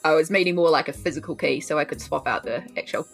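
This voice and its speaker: A woman chatting casually as a player in a tabletop role-playing game, reasoning out loud in an informal way. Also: deep voice, fast, gravelly. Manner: informal, thinking aloud. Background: background music.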